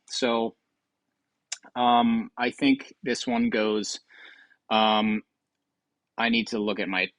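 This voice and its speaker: A man gives casual gaming commentary as a video host. He sounds close and dry with a mid-range voice, hesitating and rambling as he thinks out loud. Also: mid-range voice, no echo, rough recording.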